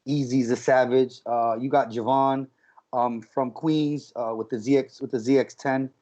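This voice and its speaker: A man's voice: medium pitch, fairly even intonation, normal speed, dark tone. A man co-hosting a podcast, talking casually and telling stories with lots of fillers. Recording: dull lo-fi sound.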